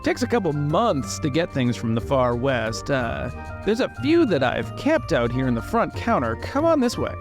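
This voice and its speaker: A man's voice: fairly high pitch, wildly swooping pitch, moderate pace, over music. A man voicing an old, boastful, eager antiques seller in a tabletop game, rambling through his sales patter. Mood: eager salesmanship.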